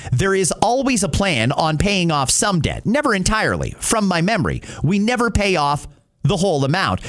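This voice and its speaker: Male talk host from Canada, opinionated and emphatic, lively swinging delivery, slightly high voice. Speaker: radio talk show host. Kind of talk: reading and answering listener messages.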